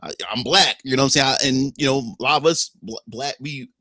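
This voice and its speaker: Young Philly man, casual streamer monologue, bright forceful voice, dry close mic, animated storyteller with frequent restarts. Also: medium pitch, brisk, no room sound. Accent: Philadelphia. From Philadelphia. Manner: animated, swears freely, repeats phrases and restarts often.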